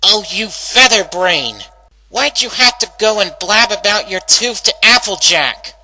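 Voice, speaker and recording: A brash young female cartoon pony, acted out by a man, pitched high and pushed hard with a very bright edge. She grumbles to herself in exasperated, whiny slang, drawing the words out at an easy pace. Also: expressive, unhurried, a little hiss or hum, heavily acted, poor audio.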